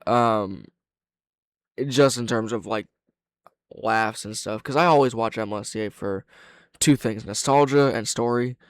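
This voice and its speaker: A man's voice: fairly low pitch, unhurried, pauses often, clear, resonant tone, no room sound. A man giving casual, off-the-cuff reaction commentary, often interrupting himself and swearing freely. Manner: hesitant, self-interrupting, frequent swearing. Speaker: reaction host.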